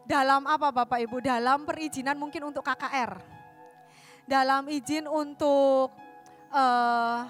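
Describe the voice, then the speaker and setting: A woman from Surabaya with a Javanese accent, an evangelist teaching a church class in a lively storytelling way, often asking the audience questions. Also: sits high. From Surabaya, East Java.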